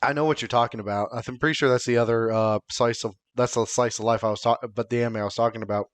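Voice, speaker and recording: A man co-hosting a podcast in casual chat with friends, often halting as he thinks out loud. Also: mid-range voice, steady tone, no room sound. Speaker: podcast co-host.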